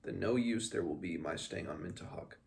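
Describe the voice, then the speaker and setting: An earnest little sage of the mountain folk read by a man in a storybook, speaking low with a creaky edge. His phrasing is formal and turned around, as if in a learned tongue. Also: brisk, a little mellow. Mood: grave, thoughtful. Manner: formal, inverted foreign-sounding phrasing.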